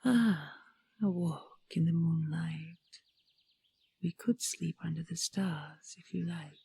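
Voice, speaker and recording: A woman playing a regal queen and tender lover in a romantic fantasy audio roleplay, breathy and often whispering, with lingering hums and pauses. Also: low voice, mellow, heavily acted. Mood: tender, reflective.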